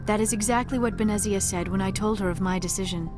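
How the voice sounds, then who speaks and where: A woman's voice: mid-range voice, fast, close to an everyday voice. Woman playing young female alien scientist, shy, bookish, precise formal speech, socially awkward, video game dialogue, music underneath. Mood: reflective, modest. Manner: formal, precise diction, avoids contractions.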